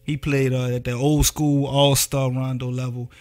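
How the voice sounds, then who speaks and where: A man's voice: medium pitch, flat delivery, normal speed, a little mellow. A man co-hosting a sports podcast, chatting casually with slang and lots of "like" and "man.".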